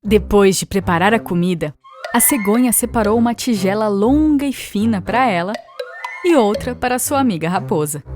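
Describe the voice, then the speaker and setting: A woman with a Brazilian accent narrating a scripted fable for children as a storyteller. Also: medium pitch. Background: music.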